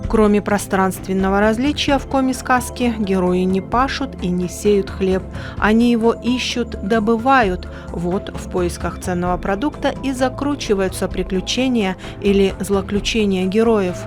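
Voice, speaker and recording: A woman's voice: mid-range voice, moderate pace, few pauses, music in the background. A woman reading scripted documentary narration as the narrator of a television programme.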